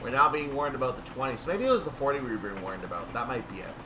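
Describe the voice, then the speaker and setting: A man's voice: rapid-fire, distant mic. Roomy, off-mic man doing gameplay commentary. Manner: explanatory, thinking aloud. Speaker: gaming video host. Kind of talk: unscripted gameplay commentary on a train simulator. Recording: roomy, off-mic, steady hum and rumble.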